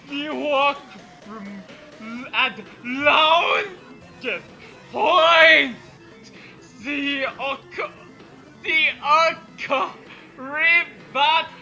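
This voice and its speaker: Female guest reader, bright voice, very slow, overwrought and halting. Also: high-pitched, lo-fi sound. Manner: overdramatic, stumbling over misspelled words. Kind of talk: halting comic reading of garbled text.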